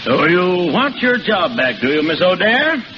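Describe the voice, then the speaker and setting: An actor playing a condescending, stingy store boss in a 1940s radio drama, smug and needling. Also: male voice, high-pitched, sing-song, a little slow, big-room sound. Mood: smug, doubting. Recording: muffled old radio broadcast, faint hiss.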